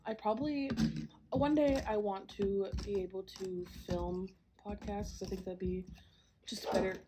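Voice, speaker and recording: A young woman hosting a casual solo podcast while she does a jigsaw puzzle, a little congested and clearing her throat, rambling with lots of "like" and "um" and small laughs, recorded at home. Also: medium pitch, background noise. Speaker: podcast host. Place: a home, downstairs.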